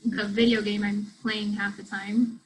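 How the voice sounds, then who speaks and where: A woman's voice: mid-range voice, little pitch movement, a little slow, a little hiss or hum, lightly acted, poor audio. A woman in a play reading playing a blunt young South American student who talks in a casual, slangy, sweary ramble. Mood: guarded, casual. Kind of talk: play reading, naturalistic conversational acting.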